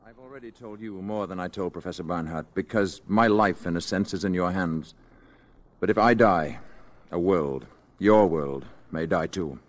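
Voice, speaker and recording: An actor in a radio drama playing a calm, grave spaceman with a measured, earnest and formal way of talking. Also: deep voice, a little hiss or hum, close to an everyday voice.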